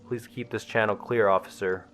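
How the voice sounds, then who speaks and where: A man's voice: on the low side, fairly even intonation, normal speed, fairly bright tone, faint music behind, natural voice. A man voicing a curt, mildly annoyed police radio dispatcher in a horror story, with clipped procedural talk. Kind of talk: dramatized horror story dialogue.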